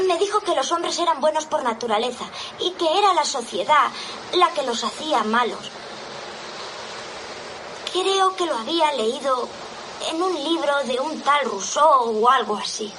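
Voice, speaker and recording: A woman's voice: high voice, lively intonation, measured pace. A woman dubbing a worried young castaway boy in a film, anxious and earnest, with outdoor ambience behind. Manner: anxious, sincere, quietly defensive. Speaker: dub actor in a film clip. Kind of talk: dubbed film dialogue. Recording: film soundtrack with clear outdoor ambience noise.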